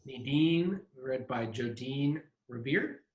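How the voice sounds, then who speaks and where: A man's voice: medium pitch, lively intonation, slow, lo-fi sound. A man hosting as festival director, casually introducing people on a web call. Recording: roomy, echoey web call.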